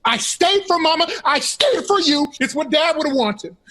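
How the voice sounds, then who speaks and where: A woman's voice: sits high, expressive, moderate pace, fairly bright tone. A woman playing a resentful, dutiful older sibling in an audio drama, sarcastic and defensive. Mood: angry, defensive.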